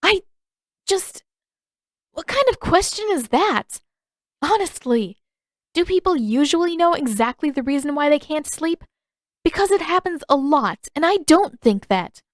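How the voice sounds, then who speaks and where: A woman's voice: sits high, sing-song, unhurried, no echo, clean studio sound, voice pushed up. A woman voicing a defiant, flustered teenage girl who argues emphatically in a narrated fantasy story. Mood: indignant. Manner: indignant, emphatic.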